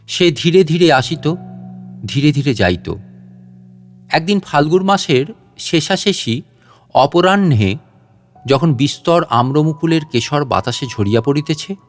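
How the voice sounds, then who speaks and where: Male storyteller over soft music. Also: mid-range voice. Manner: measured, expressive, with deliberate pauses between phrases. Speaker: storyteller, audiobook narrator. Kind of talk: dramatic literary story narration in first person.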